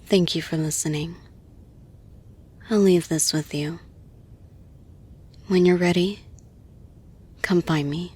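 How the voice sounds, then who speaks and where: Woman acting slow, breathy, caring lady boss. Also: on the low side, fairly even intonation, a little mellow. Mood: soothing, encouraging. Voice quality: breathy, husky. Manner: slow, deliberate, reassuring. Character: caring, composed female boss gently offering support. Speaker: audio roleplay performer. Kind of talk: intimate roleplay, soft-spoken and measured.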